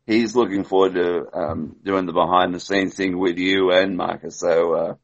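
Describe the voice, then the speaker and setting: A man's voice: low voice, fairly even intonation, normal speed, creaky, muffled recording. A man who has had a few glasses of wine, rambling loosely and laughing as a guest on a call-in show from his balcony. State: tipsy from wine. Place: on a balcony at home.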